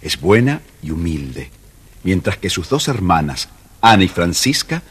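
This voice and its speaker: A man narrating a children's storybook record in a measured storytelling voice. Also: low-pitched, very animated, breathy edge.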